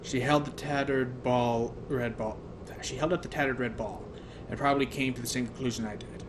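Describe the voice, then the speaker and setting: A male audiobook reader with a mid-pitched voice narrates a novel in the first person. He sometimes trips and rereads phrases, and there is noticeable noise on the recording. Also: fairly even intonation, fairly quick.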